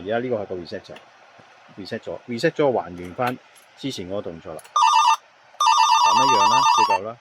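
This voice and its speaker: Hong Kong Cantonese man, noisy recording. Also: medium pitch. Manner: explanatory, halting phrases with English words mixed in. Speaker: product demonstrator. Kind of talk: unscripted product demonstration.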